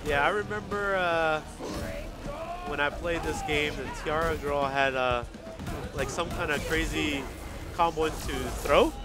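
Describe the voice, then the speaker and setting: Slightly nasal man, higher pitched, over music. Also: measured pace. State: under the weather. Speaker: event host interviewing a developer. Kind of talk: casual interview chat on a livestream. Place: gaming event venue. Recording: music behind the voice.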